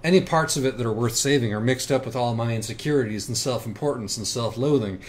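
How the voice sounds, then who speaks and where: A man's voice: mid-range voice, fast, darkish tone, a little hiss or hum, close to an everyday voice. A man playing a cerebral, self-critical young writer with a wry, hesitant manner in audiobook dialogue. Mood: troubled, self-critical.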